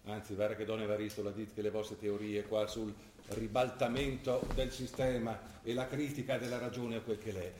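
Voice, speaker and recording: An actor playing a middle-aged provincial father in a stage drama, gruff and reproachful, speaking colloquially with a Trentino northern Italian accent. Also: lowish voice, little pitch movement, brisk, a little mellow, slight background noise, natural voice. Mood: scolding. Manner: scolding, colloquial. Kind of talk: stage drama acting in dialect. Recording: roomy theatre sound, faint music. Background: faint music.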